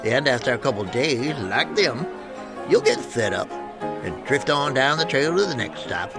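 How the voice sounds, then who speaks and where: An actor playing a chatty, darkly joking saloon local in a western audio drama, sardonic and knowing. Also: male voice, medium pitch, expressive, a little slow. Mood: wry, amused. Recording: music behind the voice.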